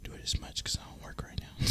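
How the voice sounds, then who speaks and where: A man speaking in a breathy, unvoiced whisper, rambling casually and in a relaxed way as he hosts an ASMR gaming video. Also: quick pace, soft background music.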